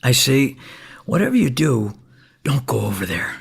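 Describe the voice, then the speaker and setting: A shabby older neighbour in an audio comedy, with a deeply gravelly, husky voice. He rambles in a folksy, hesitant way, like someone confiding a long tale. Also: very animated, normal speed, full character voice. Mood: wry, earnest. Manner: folksy, hesitant, meandering storytelling. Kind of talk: comic stage monologue.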